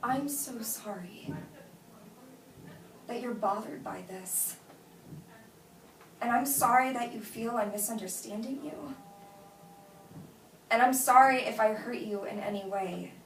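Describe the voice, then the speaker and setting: A woman's voice: a little high, slow pace, pauses often. An actress playing a blunt, exasperated woman turning down a friend, speaking emphatically in a naturalistic drama read-through. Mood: apologetic, firm. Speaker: actress at a script table read. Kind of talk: naturalistic film drama dialogue read aloud. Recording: roomy echo, distant mic, faint noise.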